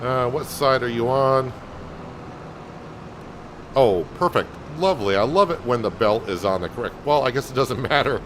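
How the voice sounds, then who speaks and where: A man calmly talking viewers through a farming game on a livestream, in a soothing, unhurried way. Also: mid-range voice, background noise. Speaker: game streamer. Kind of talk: casual gameplay commentary.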